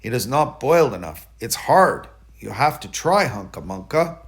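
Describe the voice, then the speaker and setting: A man plays a small male storybook mouse with a short temper. He is bossy and curt, barking out demands in a medium-pitched voice. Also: very animated, normal speed. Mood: grumbling, frustrated. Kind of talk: storybook character voice.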